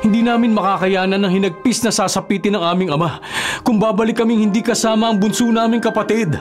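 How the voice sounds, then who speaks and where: A man's voice: high voice, steady tone, fast, faint music behind, lightly acted. A man playing a humble, responsible eldest brother in a Filipino radio drama, earnest and respectful. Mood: pleading.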